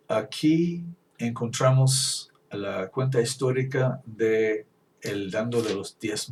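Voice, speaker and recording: A man whose first language is English preaching a Bible lesson, halting and restarting at times, reading scripture slowly. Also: medium pitch, a little mellow, distant mic, a little hiss or hum, low-quality recording. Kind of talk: Bible teaching.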